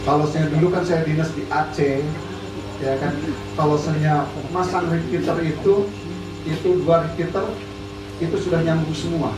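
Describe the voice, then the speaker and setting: A man from West Java giving an informal, chatty speech to a community radio gathering as an army district officer, peppering his talk with fillers. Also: on the high side. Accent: Indonesian, not typically Sundanese. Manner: chatty, frequent "ya kan" and "nah" fillers. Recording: echoey room, distant mic, music behind.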